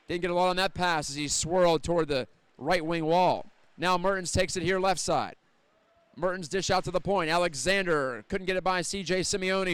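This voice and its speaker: A man doing live audio play-by-play of an ice hockey game as the announcer, describing the action in a measured, detailed way that lifts with the play. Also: high voice, a bit bright, clear, resonant tone, no room sound. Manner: measured, descriptive, lifting on scoring chances. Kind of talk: live ice hockey radio-style commentary.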